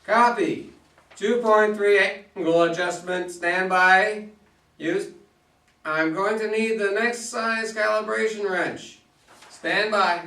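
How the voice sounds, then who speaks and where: Guy reading a part as goofy muddled astronaut on the moon, high bright voice, amateur play, roomy and far from mic. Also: slow, exaggerated voice. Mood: businesslike. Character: joking, scatterbrained male astronaut on a moonwalk. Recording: echoey room, distant microphone.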